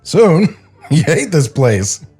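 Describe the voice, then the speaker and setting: Man acting an earnest, lively animator. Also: a little high, takes it slowly, breathy edge, soft background music, pitched above the performer's own voice, heavily acted.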